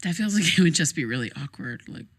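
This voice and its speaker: A woman's voice: sits low, expressive, husky. A guarded, hesitant grieving young woman. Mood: reluctant, awkward. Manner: hesitant, halting, casual, deflecting. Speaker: therapy client in audio drama. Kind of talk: naturalistic audio drama dialogue.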